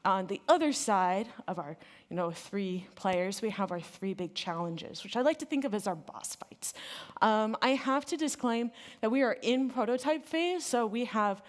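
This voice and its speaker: A woman's voice: mid-range voice, expressive, moderate pace. An American woman giving a casual, enthusiastic conference talk on machine learning, speaking loosely with many fillers. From United States. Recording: reverberant hall.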